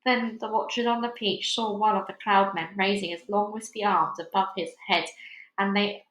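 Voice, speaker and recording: A woman reads a children's story aloud as narrator. Her voice is medium in pitch and expressive. The recording has a clear room echo. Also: normal speed. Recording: echoey room.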